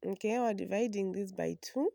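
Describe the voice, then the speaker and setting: Hoarse woman sewing instructor, gravelly, mellow tone, slow patient delivery, dry sound. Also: medium pitch, dry recording. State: hoarse from a flu. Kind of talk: step-by-step drafting tutorial.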